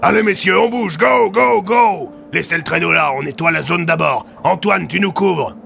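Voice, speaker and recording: A man's voice: high voice, normal speed, vocal fry, pitched above the performer's own voice, heavily acted. Man playing a barking army colonel. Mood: urgent, commanding. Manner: shouted, clipped military commands. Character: gruff commanding male army colonel barking orders. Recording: music under the voice.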